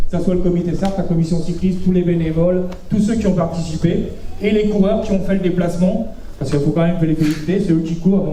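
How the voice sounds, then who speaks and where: A man with a high yet very dark-toned voice gives an informal congratulatory speech. It is recorded from afar with echo. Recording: echoey, distant, faint noise.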